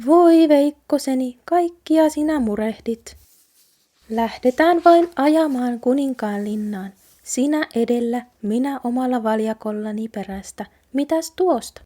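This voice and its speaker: A woman puts on a tiny female mouse from a storybook folk tale. The voice sits well above an everyday pitch. The little creature is brisk, cheerful and breezily reassuring. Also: dark tone. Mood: soothing, breezy.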